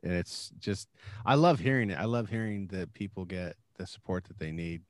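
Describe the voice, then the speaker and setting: A man's voice: low voice, animated, moderate pace, no echo. A man co-hosting a podcast interview, encouraging and jokey with the guest. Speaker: podcast co-host. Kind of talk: casual interview chat.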